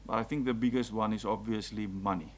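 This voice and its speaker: A man's voice: fairly low pitch, quick pace, slight background noise. A man, the executive mayor, reading out budget figures at a council meeting with fillers. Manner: reads out figures in a steady list with fillers. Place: council chamber.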